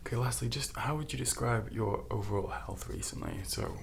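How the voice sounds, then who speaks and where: Hushed, very creaky man in ASMR doctor roleplay. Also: medium pitch, slight background noise. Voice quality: soft, hushed, at times whispered. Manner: gentle, reassuring, unhurried bedside talk. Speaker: ASMR performer playing a doctor. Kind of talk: softly spoken ASMR medical roleplay.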